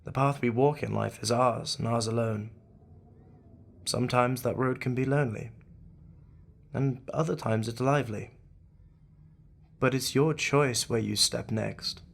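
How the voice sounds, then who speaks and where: Man acting slow, creaky-voiced commanding investigator. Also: medium pitch, steady tone. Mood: gently earnest. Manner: measured, authoritative. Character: commanding, wry male leader of secret investigators, gruffly kind. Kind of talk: audio drama acting.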